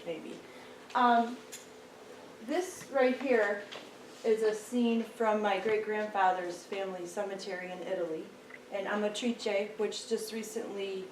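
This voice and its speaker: A soft-spoken woman giving a friendly, chatty talk as a guest lecturer at a meeting. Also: medium pitch, slight background noise. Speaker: guest speaker, local historian. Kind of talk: public lecture. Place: meeting room. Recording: echoey room, mic from far off.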